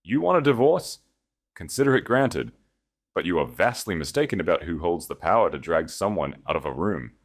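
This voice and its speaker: A man voicing a calm, cold, composed wronged wife who speaks deliberately and never flinches. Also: low-pitched, heavily acted. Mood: coldly triumphant. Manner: soft, deliberate, unflinching.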